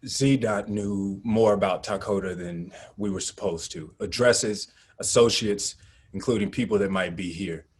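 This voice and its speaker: A man acting in a table read as a pragmatic, commanding activist leader, speaking in a measured, matter-of-fact way. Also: fairly low pitch, husky. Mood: calculating. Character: pragmatic, commanding male activist leader Gus, planning a bluff.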